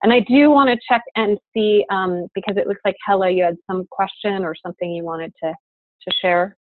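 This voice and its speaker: A woman's voice: medium pitch, steady tone, moderate pace, no room sound. A woman facilitating an online course on a video call, earnest and full of um and uh. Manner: earnest, lots of fillers. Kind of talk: leading an online class call. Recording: dry, phone-like call audio.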